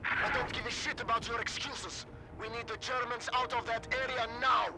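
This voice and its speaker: An actor playing a brusque, hard-bitten Soviet commander in a war game, blunt and authoritative. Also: male voice, extremely high pitch, little pitch movement, moderate pace, over loud music. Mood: angry, impatient. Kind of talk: scripted war game dialogue. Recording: loud gunfire and artillery noise.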